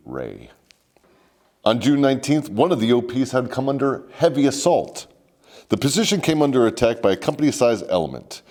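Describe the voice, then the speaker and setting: A man's voice: on the low side, dark tone. A man hosting a scripted comedic military history story, mock-formal and sardonic with salty slang. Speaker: host and storyteller.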